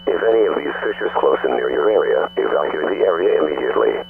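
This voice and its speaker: A man's synthetic-sounding, gravelly, husky voice reading a formal, impersonal scripted emergency broadcast announcement. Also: very deep voice, very animated, fast talker, dry recording. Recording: muffled lo-fi radio-like phone-grade audio.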